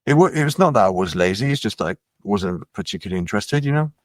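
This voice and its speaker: Man from France, low voice, relaxed and musing, middle-aged, dry close mic. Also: fast, no echo. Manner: relaxed, musing, trails off with fillers. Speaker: musician and label head, guest. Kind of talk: casual interview chat.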